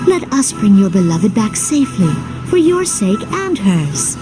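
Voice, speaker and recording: A woman's voice: medium pitch, sing-song, a little slow, music in the background. A woman playing an earnest, noble companion in a video game cutscene. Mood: earnest, supportive.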